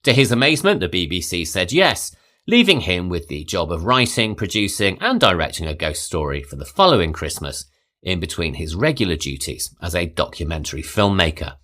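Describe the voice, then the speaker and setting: A man hosting a horror film podcast, reading a measured, articulate scripted review with light opinion. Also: deep voice, sing-song, pushed and bright. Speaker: podcast host.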